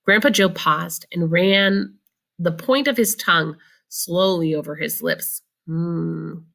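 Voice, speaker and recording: A woman reading a children's book aloud in an expressive narrating style. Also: on the low side, unhurried, vocal fry. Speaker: storybook reader.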